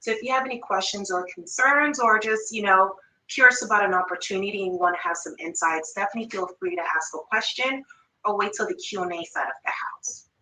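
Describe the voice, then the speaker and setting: Bright-voiced Jamaican woman presenting a workshop. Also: medium pitch, fairly quick, echoey room, far from the mic, low-quality recording. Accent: Jamaican, with Toronto and New York influence. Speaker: workshop presenter, finance manager.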